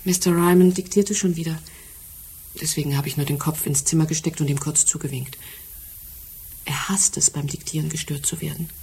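An actress playing a composed, precise secretary in a German radio crime drama, speaking in a measured, polite way. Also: female voice, sits low, fairly even intonation, a little mellow, husky, exaggerated voice. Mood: factual, earnest. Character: composed, precise female secretary giving a statement.

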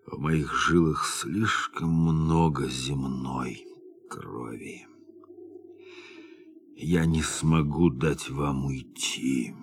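An actor playing a grave, dutiful spaceship captain in a radio play, often hushed to a near whisper. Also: male voice, rumbling low, very slow, a little hiss or hum, heavily acted. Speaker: ship captain.

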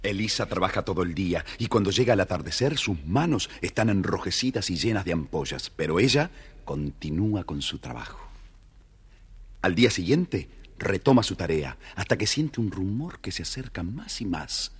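A man narrating a scripted children's story in a measured, dramatic storyteller's manner. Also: deep voice, lively intonation, husky.

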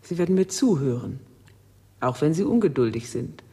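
An actress playing an enigmatic, commanding seductress in a radio drama, husky and deliberate. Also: female voice, low-pitched, very animated, warm tone, heavily acted. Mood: cool, enigmatic. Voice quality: husky, breathy. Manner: slow, deliberate, theatrical. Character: enigmatic seductive woman, coolly commanding, melancholy. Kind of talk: German radio drama acting.

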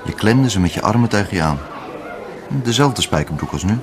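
Actor as a low-voiced wistful ex-lover. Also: male voice, expressive, fairly quick, crowd noise, lightly acted. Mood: fond, nostalgic. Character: Gerard, wistful man clinging to his former lover. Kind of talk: naturalistic radio drama dialogue.

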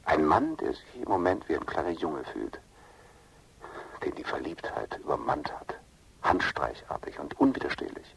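An actor playing a self-assured, smitten seducer in a radio drama, speaking in a breathy, gravelly half-whisper with menacing tenderness. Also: male voice, extremely low pitch, big pitch swings, moderate pace, heavily acted, poor audio. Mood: insistent, seductive.

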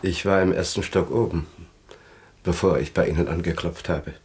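A haunted survivor in a literary radio play. His voice is very low and husky, and he speaks solemnly, pressing bitter questions with deliberate weight. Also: fairly even intonation, a little mellow. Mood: grave, brooding. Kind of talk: 1960s literary radio drama acting.

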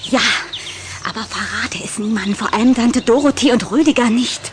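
Woman playing a coquettish, high-voiced young vampire girl. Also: a little fast, heavy background noise, lightly acted. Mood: intrigued.

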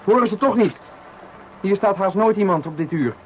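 An actor playing a menacing, coolly polite stranger who taunts and gives orders, in radio thriller acting. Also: male voice, sits high, expressive, normal speed. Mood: cold, threatening. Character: menacing male stranger, sadistic trickster at a bus stop. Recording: noisy 1980 radio play recording.

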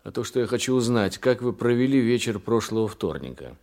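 A man acting in a radio drama as a calm, shrewd police investigator, polite but probing and dryly ironic. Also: medium pitch, fairly quick. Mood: probing, firm.

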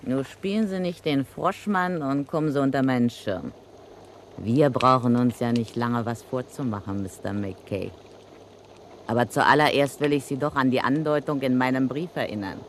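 An actress playing a cocky, slangy widow of a crook who blackmails a murderer, in a vintage radio play. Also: female voice, very deep voice, moderate pace, slight background noise. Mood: menacing.

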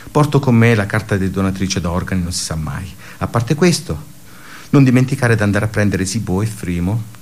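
An actor playing a bitterly sardonic office worker in a theatrical radio drama monologue, pensive and pausing. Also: male voice, on the low side. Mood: subdued, resigned. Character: bitterly sardonic male office worker brooding on colleagues' suicides.

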